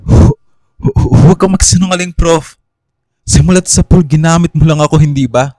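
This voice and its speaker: A man's voice: fairly high pitch, fairly even intonation, normal speed, mellow, dry recording, natural voice. A Filipino actor playing a troubled, suspicious young man cursed with a third eye, intense and accusing, in a radio horror drama. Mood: accusing, upset.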